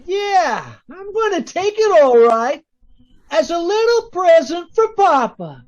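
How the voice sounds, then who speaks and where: A suspicious, taunting lady crook from a 1940s radio melodrama, acted by a woman. Her very high voice swings widely as she makes her demands at a slow pace. The recording is a bit muffled. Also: very animated, darkish tone, slight background noise, exaggerated voice. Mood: taunting, demanding. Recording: dull lo-fi video-call audio.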